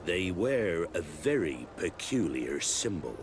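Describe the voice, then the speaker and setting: A man voicing a gossipy, forthcoming port local in a video game, conversational and expressive. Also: deep voice, takes it slowly, slight background noise, heavily acted. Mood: helpful.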